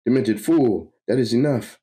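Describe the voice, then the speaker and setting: A man's voice: mid-range voice, moderate pace, dark tone, slightly rough, voice pushed up, close to an everyday voice. A man voicing a noble, stern sorcerer lord in a grand, formal manner, reading from a comic book. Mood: angry. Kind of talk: comic book read-aloud.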